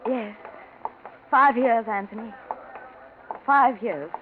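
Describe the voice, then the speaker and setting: A gracious, wistful lady of good family, on a thin vintage radio recording with light hiss. Her voice is high and theatrical, with genteel exclamations in the style of 1940s radio acting. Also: slow, phone-quality sound. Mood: wistful, grieving.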